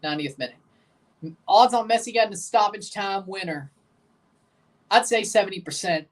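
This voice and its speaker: A young man with a low voice hosting a livestream, giving blunt, opinionated hot takes while reacting to a soccer match and answering chat questions. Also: moderate pace, distant mic. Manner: excitable, jumps high in pitch when agitated.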